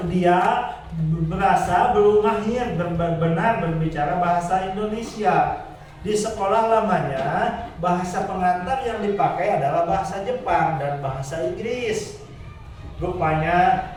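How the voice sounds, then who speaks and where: A man's voice: sits high, a little mellow. A male teacher reading a children's story aloud to his class and stopping to quiz them. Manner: checks the class with questions between story parts. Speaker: primary school teacher. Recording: echoey classroom, far from the mic.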